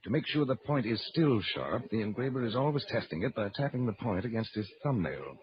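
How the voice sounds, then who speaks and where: A shrewd, self-possessed magician hero played by a man in a vintage radio adventure. He sounds assured and commanding, with a mid-pitched voice on a muffled old recording. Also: expressive, brisk, close to an everyday voice. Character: confident, shrewd heroic magician-detective. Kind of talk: old-time radio adventure serial acting.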